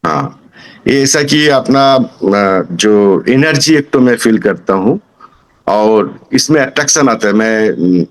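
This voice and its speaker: A man's voice: on the low side, expressive, slow pace. A man of about sixty from Kolkata, giving a casual testimonial as a student. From Kolkata, India. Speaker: singing student and businessman.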